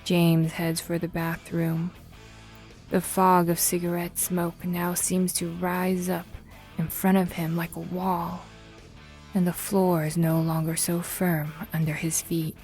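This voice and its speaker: A woman narrating a scripted audio drama in a measured, suspenseful storytelling manner. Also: lowish voice, completely flat delivery, a little mellow. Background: faint music.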